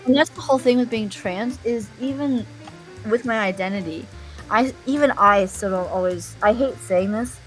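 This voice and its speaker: Soft-spoken young woman streamer, candid. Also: fairly high pitch, moderate pace, faint music behind, low-quality recording. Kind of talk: casual chat on a live stream.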